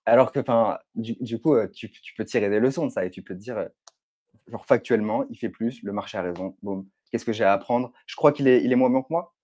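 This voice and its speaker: A man chats about business on a podcast. His voice is dark and mellow, and he hesitates often, filling gaps with casual slang. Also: on the low side, fairly quick. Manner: hesitant, filler-heavy, "genre" slang. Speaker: podcast co-host, publishing founder.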